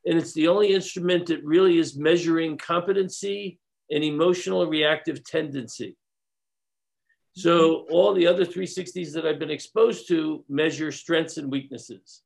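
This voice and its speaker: A man's voice: a little high, moderate pace, creaky. A man, a consultant guest in an interview, explaining his work step by step with some restarts.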